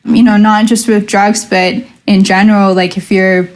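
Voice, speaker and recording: A woman's voice: medium pitch, little pitch movement, moderate pace. A young adult woman, a lucid dream researcher and coach, chatting casually as a podcast guest and acting out her own thoughts as she tells a story.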